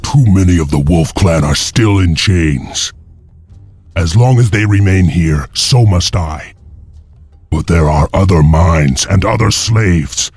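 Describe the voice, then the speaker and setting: A man playing a noble, defiant clan warrior leading a slave revolt in a video game, gravelly and resolute. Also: low-pitched, slow, a little mellow, dry recording, soft background music, full character voice. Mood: grave resolve. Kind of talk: video game hero acting.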